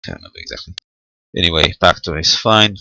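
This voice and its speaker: A man giving an unscripted hands-on technical tutorial as an amateur radio demonstrator, matter-of-fact and halting as he thinks aloud. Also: low-pitched, wildly swooping pitch, vocal fry, pushed and bright, low-quality recording.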